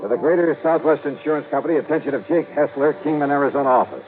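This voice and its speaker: A man's voice: on the high side, expressive, quick pace, over music. A man narrating as a wry, hard-boiled detective in a 1950s radio drama. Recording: tinny old radio broadcast.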